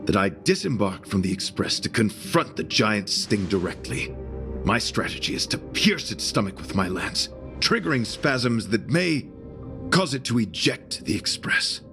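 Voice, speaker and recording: An actor playing a noble, devoted knight in a video game, speaking with formal, florid, solemn chivalry. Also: male voice, on the low side, sing-song, measured pace. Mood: solemn devotion. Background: prominent music.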